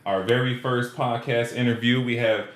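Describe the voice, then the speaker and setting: A man's voice: mid-range voice, fairly even intonation, normal speed, roomy sound, very noisy. A man hosting a casual podcast, upbeat, stretching out his intros like an announcer. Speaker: podcast host.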